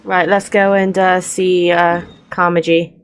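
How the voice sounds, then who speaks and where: A woman's voice: fairly low pitch, flat delivery, unhurried, smooth. A woman hosting a game playthrough, casually reading dialogue aloud and commenting. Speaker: gaming channel host. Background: game music.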